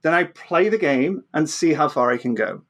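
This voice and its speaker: A man's voice: a little high, animated, normal speed, fairly bright tone. A man explaining science informally as an interview guest, often repeating words and restarting, checking in with "right?".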